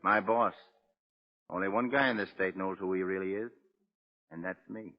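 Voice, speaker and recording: An actor playing a cocky, hard-boiled American gangster on death row in 1940s radio drama, sneering and taunting in slangy tough talk. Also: male voice, low voice, steady tone, moderate pace. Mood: defiant. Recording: muffled old radio recording with long reverb.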